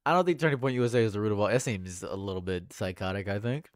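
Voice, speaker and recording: A young man with a stuffed-up nose, reading chat comments on a livestream in a dry, deadpan way. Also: fairly low pitch, fast talker, no echo. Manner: dry and deadpan, laughs at own jokes. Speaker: livestream host. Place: home room.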